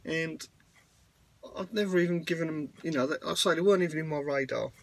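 A man's voice: fairly high pitch, brisk, creaky, no room sound. A man vlogging casually outdoors beside parked vans, talking in a rambling, plain-spoken way with plenty of fillers. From United Kingdom. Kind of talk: casual walkaround vlog.

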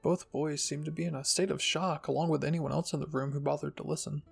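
A man with a slightly high, creaky voice reads a story in a soft ASMR style. His delivery is even and husky, with light music behind him. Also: quick pace. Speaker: narrator and channel host. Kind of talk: ASMR fan fiction reading.

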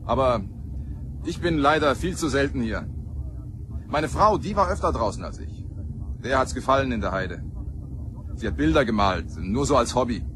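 A man's voice: medium pitch, animated, normal speed, long pauses, close to an everyday voice. A man playing a chatty, disdainful husband in a crime radio play, talking colloquially and hesitantly. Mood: wistful, casual. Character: chatty widowed husband, disdainful of his brother. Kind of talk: naturalistic radio drama dialogue. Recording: clear vehicle noise behind.

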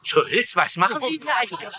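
High, rasping, flustered camp commandant. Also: male voice, very animated, moderate pace, sharp tone, heavily acted. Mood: suspicious, annoyed. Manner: obsequious, stammering, fussy. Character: vain, fawning, flustered German camp commandant. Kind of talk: dubbed TV sitcom acting.

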